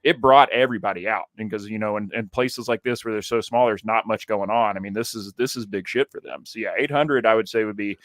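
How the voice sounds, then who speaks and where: A man's voice: fairly low pitch, a little fast, hardly any pauses, no echo. Rambling man, close dry mic. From Kentucky, USA. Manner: relaxed, rambling, swears casually. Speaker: podcast co-host. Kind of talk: casual chat.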